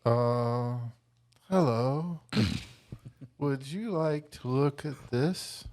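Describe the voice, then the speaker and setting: A man playing a shy, awkward young girl who gives halting, rehearsed sales patter in a comedy script read. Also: mid-range voice, a little mellow. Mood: timid.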